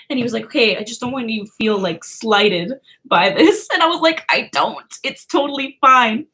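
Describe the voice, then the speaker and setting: Young woman, livestream host, casual chat, giggly, filler-heavy, slightly high-pitched. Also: lively intonation, moderate pace, sharp tone. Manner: giggly, chatty, lots of "like". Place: home, indoors.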